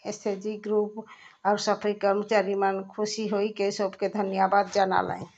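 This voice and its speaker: A woman news reporter reads her report in a flat, level voice. The sound is dull and lo-fi. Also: medium pitch, unhurried.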